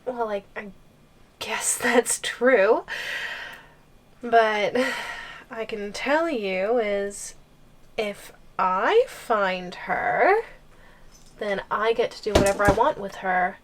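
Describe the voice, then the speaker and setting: A woman playing an obsessive giantess girlfriend in an ASMR audio roleplay, coy and hesitant, with playful, sweet menace. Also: mid-range voice. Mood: teasing menace. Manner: slow, coy, hesitant phrasing with teasing menace.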